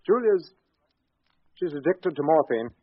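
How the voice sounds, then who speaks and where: A scheming married doctor in a 1940s radio drama. His voice is fairly high and swings expressively, polite and hesitant in delivery. The recording is dull and aged. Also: lively intonation, measured pace, slightly rough, dry recording. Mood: grave, confiding. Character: soft-spoken scheming married doctor plotting his wife's murder.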